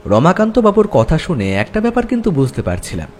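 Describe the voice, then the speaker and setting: প্রাণবন্ত স্বরের পুরুষ গল্পকথক. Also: male voice, on the high side, wildly swooping pitch, quick pace, darkish tone, faint music behind. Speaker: story narrator. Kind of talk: first-person scripted detective story narration.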